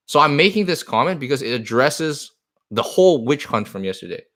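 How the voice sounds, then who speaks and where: A man's voice: mid-range voice, sing-song, fairly quick, a bit bright. Earnest rambling man, Chinese native speaker. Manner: earnest, rambling, repeats himself. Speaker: card shop owner. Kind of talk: live stream talk answering comments.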